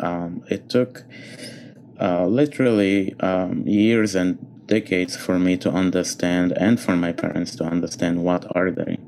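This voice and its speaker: A man from Egypt, a concert pianist, answering interview questions thoughtfully with many pauses and fillers. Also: low voice, a little mellow, a little hiss or hum.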